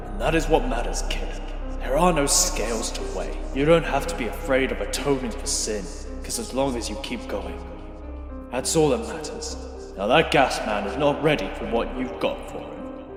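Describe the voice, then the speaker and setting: Male actor as gentle older uncle, soft-spoken, fatherly, consoling, audio drama, music behind. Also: medium pitch, roomy sound, in a large hall, voice pushed down, close to an everyday voice.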